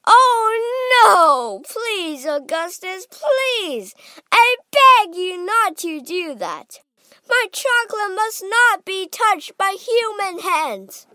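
An eccentric man who owns a chocolate factory, acted out by a woman reading a storybook. The voice is high and bright, theatrical and exclamatory, with big swoops. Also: very animated, takes it slowly, dry recording, studio quality, full character voice. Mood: frantic pleading. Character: frantic, eccentric male chocolate factory owner.

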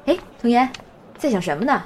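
Lively young woman student with swooping pitch acted. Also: a little fast, a little hiss or hum. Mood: teasing. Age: young adult. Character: playful, dramatic young female student actor. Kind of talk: audio drama acting. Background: music.

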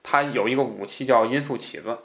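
A male podcast host, talking at a brisk clip. His delivery is casual and explanatory, like a relaxed book discussion, and he tends to restate phrases to make his point. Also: mid-range voice, gravelly, muffled recording. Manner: conversational, explanatory, repeats himself for emphasis.

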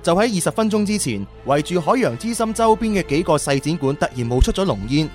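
Chatty young sidekick, high voice, swooping intonation, excitable, radio drama. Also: male voice, quick pace, soft background music. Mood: reporting, worried. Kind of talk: Cantonese radio drama acting.

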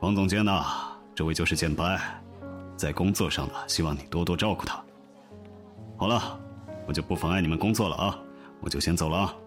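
Deep-voiced officious male general manager, acted. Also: brisk, soft background music, close to an everyday voice. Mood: officious, conciliatory. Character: officious male general manager, bossy yet easily placated. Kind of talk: modern audio drama acting.

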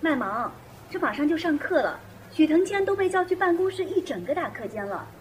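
A woman's voice: high voice, sing-song, a little fast, soft background music, poor audio. A woman playing a wry, chiding teenage schoolgirl in a radio drama.

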